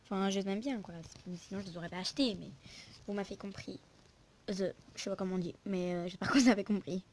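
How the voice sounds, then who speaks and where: Young female video host, informal rambling commentary, mid-range voice, noisy low-quality recording. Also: fairly even intonation, fast, a little mellow. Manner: rambling, informal, self-interrupting. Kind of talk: casual game lookbook commentary. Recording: poor sound, clear noise and clicks.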